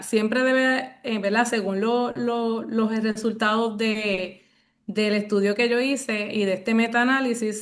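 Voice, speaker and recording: A woman's voice: fairly high pitch, a bit bright. A woman, a researcher giving a didactic answer at an academic talk and often checking in with tag questions. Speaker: academic researcher presenting a study.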